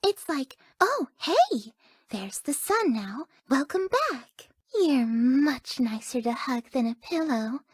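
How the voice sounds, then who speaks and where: A dreamy, easily confused young woman from a video game. Her voice is high and soft, drifting slowly along with wide-eyed wonder and airy, gentle phrasing. The melody swings widely. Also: very animated, no room sound, studio quality, exaggerated voice. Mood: dreamy, affectionate. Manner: soft, airy, gentle, often dropping to breathy near-whispers. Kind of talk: video game character voice lines.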